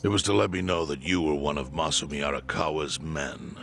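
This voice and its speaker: An actor playing a calm, knowing male informant who explains things in a measured way. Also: a bass voice, creaky, slight background noise, heavily acted. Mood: grave.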